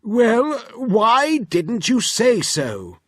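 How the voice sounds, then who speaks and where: A man's voice: very high voice, wildly swooping pitch, drawn-out words, no echo, clean studio sound, voice pushed up, heavily acted. A man voicing a forgetful, mishearing old professor in a storybook read-aloud, fussy and exclaiming. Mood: muddled.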